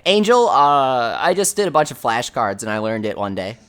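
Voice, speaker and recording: A man hosting a game livestream with a high voice, answering viewer questions in a chatty, offhand way full of fillers. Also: sing-song, normal speed, fairly bright tone, clear, resonant tone, soft background music. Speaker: livestream host. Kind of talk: casual livestream chat, reading viewer questions.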